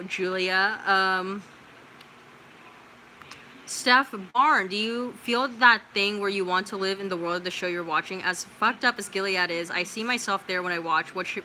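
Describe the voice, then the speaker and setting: A young American woman from California hosting a casual livestream, reading out viewer comments and reacting in an informal, expressive, slangy way. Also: mid-range voice, a little hiss or hum, rough recording. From California, United States. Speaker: livestream host.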